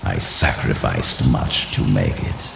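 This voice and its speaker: A man voicing an ancient, scheming sorcerer who speaks slowly and condescendingly with a deep, gravelly voice. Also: noisy background, full character voice. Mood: instructive. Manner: slow, measured, condescending. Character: ancient scheming male Horadric sorcerer, silky and proud. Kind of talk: video game cutscene dialogue. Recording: roomy game mix with music.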